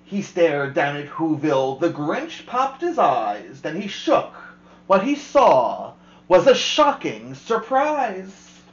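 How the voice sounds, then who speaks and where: A woman host reading a children's rhyming picture book aloud with expressive, rhythmic delivery. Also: low voice, slow, reverberant, muffled recording. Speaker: storyteller host.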